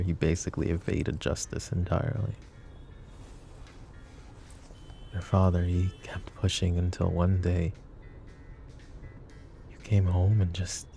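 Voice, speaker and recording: A man playing a calm, menacing, flirty mafia boss in an intimate ASMR roleplay, speaking deliberately in a gravelly murmur. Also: sits low. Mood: quiet, probing. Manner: deliberate, coaxing, philosophizing.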